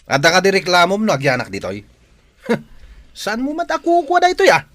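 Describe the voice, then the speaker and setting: A cocky, taunting caller at the gate in a radio drama. His fairly high voice swings hugely up and down, in a very dry studio sound. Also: wildly swooping pitch, a little fast, a bit bright, no room sound, heavily acted. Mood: mocking. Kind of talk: Ilocano radio drama acting.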